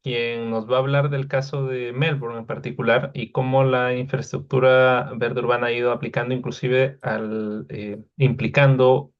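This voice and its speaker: A man's voice: mid-range voice, flat delivery, normal speed, hardly any pauses, clean tone. Hesitant male moderator, muffled sound. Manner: hesitant, many fillers. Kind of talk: introducing speakers. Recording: dull lo-fi sound.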